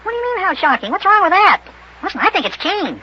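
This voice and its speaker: A man voicing an excitable, stubborn little boy in an old-time radio children's drama, blurting out indignant protests. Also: very high voice, quick pace, pitched above the performer's own voice. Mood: defiant. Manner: blurting, indignant, stammering when flustered. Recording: muffled vintage radio recording.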